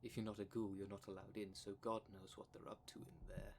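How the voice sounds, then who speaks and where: Clear background noise sits around a lowish male voice. It is a man acting a fussy, protective dream demon for an ASMR audio drama, choosing formal, wordy phrases with precise diction and a courteous manner. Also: little pitch movement, brisk, darkish tone. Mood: pedantic, concerned.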